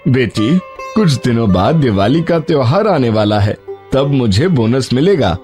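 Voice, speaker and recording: An actor playing a poor, honest labourer and tender, sorrowful father in an animated moral story, speaking gently and earnestly. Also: mid-range voice, unhurried, faint music behind, natural voice.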